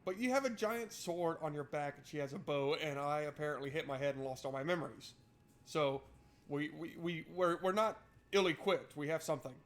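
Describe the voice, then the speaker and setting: A man's voice: fairly high pitch, brisk, a bit bright. A man streaming a game, switching between reading narration aloud and casual, irreverent, sweary joking commentary.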